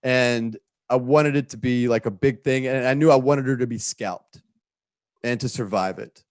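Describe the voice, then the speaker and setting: A man's voice: medium pitch, moderate pace, dry recording, clean studio sound. A man speaking as a guest writer in an interview, telling a story in a rambling, conversational way.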